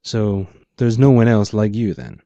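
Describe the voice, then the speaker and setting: A hard-boiled male hero read by a man talks laconically in a lowish, wry voice, as in a noir audiobook. The recording is dry. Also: unhurried, dark tone, no room sound, lightly acted. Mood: probing. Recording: dull muffled lo-fi audio.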